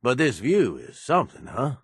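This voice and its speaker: An actor voicing a gruff, streetwise raider adviser in a video game, with a husky voice and a blunt, coaxing, profane way of talking. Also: male voice, mid-range voice, huge pitch swings, normal speed, dry recording, studio quality, full character voice. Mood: confident, coaxing. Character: gruff, streetwise male raider right-hand man, pragmatic schemer. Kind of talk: video game character dialogue.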